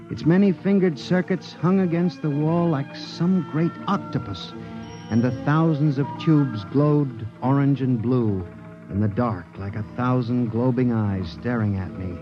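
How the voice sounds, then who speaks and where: A man's voice: medium pitch, close to an everyday voice. An actor playing an anxious male statistician who narrates and grows frightened, in a 1950s radio drama recording. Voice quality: earnest, increasingly panicked. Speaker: narrator and lead character. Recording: dull old 1950s radio broadcast.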